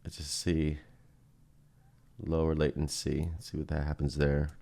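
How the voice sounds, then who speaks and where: A man giving a casual software walkthrough as presenter, thinking aloud in an informal way. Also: extremely low pitch, completely flat delivery, unhurried, vocal fry. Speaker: presenter demonstrating music software.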